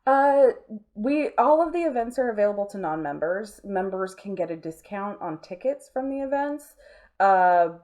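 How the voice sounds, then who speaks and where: A woman's voice: mid-range voice, expressive, normal speed, darkish tone. A woman talking casually as an interview guest, chatty and informal, often dropping in filler phrases. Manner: chatty, informal, frequent "like" and "you know". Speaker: interview guest, nonprofit arts space co-founder.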